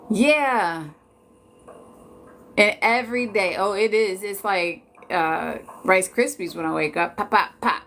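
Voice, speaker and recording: A woman's voice: medium pitch, slow pace, pauses often, a bit bright, slight background noise. A woman in her late forties from Washington D.C., chatting casually and jokingly with viewers on a live stream. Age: 40s. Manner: chatty, laid-back, joking.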